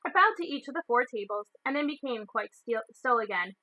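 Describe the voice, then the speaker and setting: A woman storyteller reading a children's novel aloud in expressive narration. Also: fairly high pitch, fairly quick, no echo.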